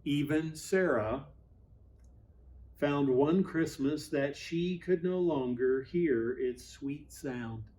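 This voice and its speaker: Slow-paced man reading a storybook aloud. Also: fairly high pitch, a little hiss or hum. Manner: expressive, dramatic pauses. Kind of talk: storybook read-aloud for children.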